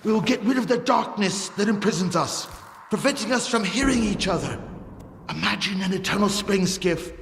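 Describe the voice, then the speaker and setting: An actor playing a taunting, zealous cult leader in a video game cutscene, preaching and mocking in a theatrical way. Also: male voice, high-pitched, normal speed, vocal fry, a bit bright, a little hiss or hum. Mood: fervent. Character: zealous, taunting male cult leader of a post-apocalyptic zone. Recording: echoey hall, music behind.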